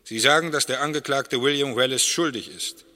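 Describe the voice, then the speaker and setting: A man plays a formal court official in a radio drama. He proclaims his questions in a bright, forceful mid-pitched voice that rings through a reverberant hall. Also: a little fast, close to an everyday voice. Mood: formal, proclaiming. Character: formal, loud male court clerk questioning the jury. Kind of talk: 1960s German radio play courtroom speech.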